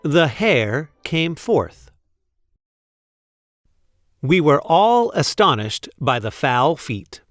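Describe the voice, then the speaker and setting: Animated man reading aloud, slow and deliberate. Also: fairly high pitch, no echo, studio quality, soft background music. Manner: deliberate, playful emphasis on repeated sentences. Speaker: read-aloud storyteller. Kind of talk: expressive picture book read-aloud.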